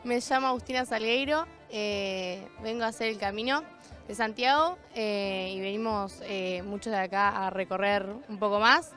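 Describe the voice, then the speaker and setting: A woman's voice: mid-range voice, normal speed, fairly bright tone, soft background music. A young woman from Uruguay talking casually with many fillers.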